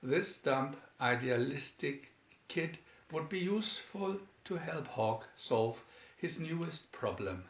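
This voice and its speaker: A man's voice: fairly high pitch, animated, slow pace. A man reading a children's booklet aloud as scripted story narration. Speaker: booklet reader. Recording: dull, roomy home recording.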